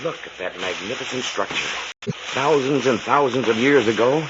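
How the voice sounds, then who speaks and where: An actor playing a learned, earnest archaeologist doctor in a 1940s radio adventure, on a muffled vintage recording. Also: male voice, mid-range voice, expressive, moderate pace, background noise, close to an everyday voice. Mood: awed. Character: learned, excitable male archaeologist doctor, jungle-wise and earnest.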